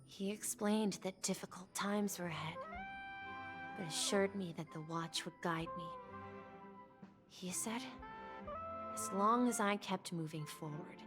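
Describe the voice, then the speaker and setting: Game role: gentle boy clockwork tinkerer acted by a woman, unhurried and reflective, faint music underneath. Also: fairly low pitch, lightly acted. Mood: wistful remembering. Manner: slow, halting, reflective. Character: gentle, wistful young boy who repairs clockwork. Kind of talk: video game story acting.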